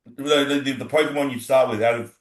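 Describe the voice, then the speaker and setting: An Australian man in his thirties hosts a laid-back chat, rambling along and tripping over his own repeated words. His voice sits at an ordinary middle pitch. The room around him rings with a roomy echo. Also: fairly even intonation, fast. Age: 30s. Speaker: podcast host. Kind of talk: casual chat.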